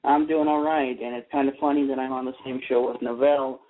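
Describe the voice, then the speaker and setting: A man's voice: medium pitch, fairly even intonation, a little fast. A man calling in to a radio show, telling anecdotes in casual chat. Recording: thin phone call to radio.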